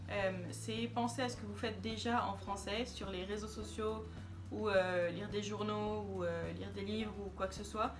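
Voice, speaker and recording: A woman vlogger talking casually to camera, chatty and hesitant with many fillers. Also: medium pitch.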